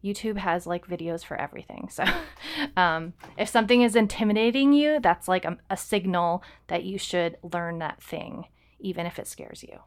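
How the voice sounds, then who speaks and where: Woman cohosting a podcast, kinda low voice, lots of ums, casual. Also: animated, normal speed, clear, resonant tone. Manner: chatty, many ums and restarts. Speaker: podcast co-host. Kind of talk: casual chat.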